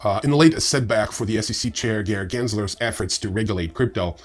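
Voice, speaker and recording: A man's voice: on the low side, fast talker, faint music behind. A man presenting scripted news as host, with casual, sardonic asides in a wry manner. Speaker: news presenter of a crypto newsreel.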